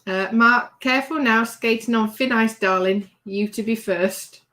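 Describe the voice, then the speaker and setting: A woman with a slightly higher voice hosts a relaxed evening livestream. She reads chat comments aloud in a teasing, chatty way and often breaks off mid-sentence. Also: unhurried. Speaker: livestream host reading chat. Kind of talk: casual chat.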